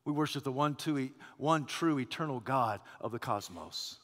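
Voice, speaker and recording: A man preaching a sermon, swinging from quiet, low passages to shouted rhetorical questions. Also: animated, normal speed, long reverb tail. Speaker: pastor.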